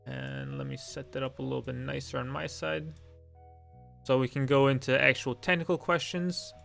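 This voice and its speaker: A young man with a gravelly, fry-tinged voice answers viewer questions on a live stream, with faint music playing underneath. He rambles, pokes fun at himself, and fills the gaps with ums and likes. His first language is Lithuanian. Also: mid-range voice, flat delivery, normal speed, a bit bright. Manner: rambling and self-deprecating, many fillers. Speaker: streamer hosting a Q and A. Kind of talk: casual live-stream chat.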